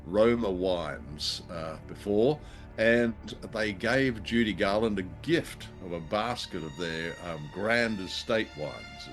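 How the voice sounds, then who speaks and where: A man with a fairly low voice chats as a show co-host. He talks in a hesitant, searching way with a dry, wry sense of humour. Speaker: podcast co-host. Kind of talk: conversational discussion of old radio drama.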